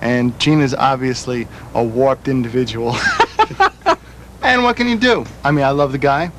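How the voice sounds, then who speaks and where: A man bantering in an interview, playful and teasing with quick comebacks. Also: medium pitch, expressive, a bit bright, a little hiss or hum. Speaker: band member being interviewed.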